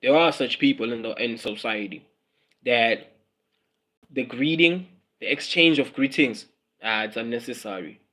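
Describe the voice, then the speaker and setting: A man's voice: medium pitch, a little slow, pauses often, a bit bright. A South African man from South Africa hosting a casual livestream, musing aloud, repeating his phrases and dropping in Zulu words. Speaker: livestream host.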